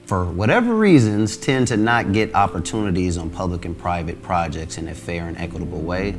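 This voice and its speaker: A man giving a measured, formally worded interview testimonial. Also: low voice. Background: music.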